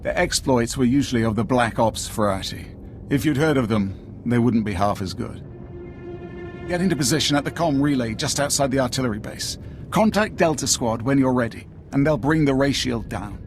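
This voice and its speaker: A man's voice: mid-range voice, expressive, moderate pace, a little hiss or hum, natural voice. A man playing a proud, impatient Imperial military officer in a video game, clipped, authoritative and disdainful. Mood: briskly commanding. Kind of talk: video game cutscene acting.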